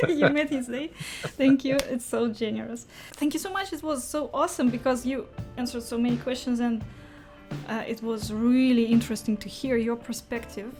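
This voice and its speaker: A woman's voice: on the high side, moderate pace, soft background music. A woman hosting a casual interview, phrasing her questions in a tentative, hesitant and appreciative way.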